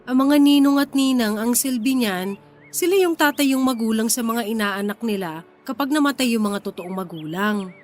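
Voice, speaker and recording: A woman's voice: a little high, animated, normal speed, soft background music, close to an everyday voice. A woman playing a modest, practical young Filipina wife and mother in a radio drama, hesitant and questioning. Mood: concerned, pleading.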